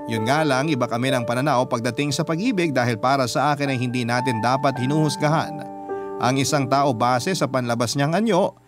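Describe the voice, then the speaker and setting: A man with a Filipino accent, a radio host reading a listener's letter as scripted first-person storytelling. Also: medium pitch. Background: music under the voice.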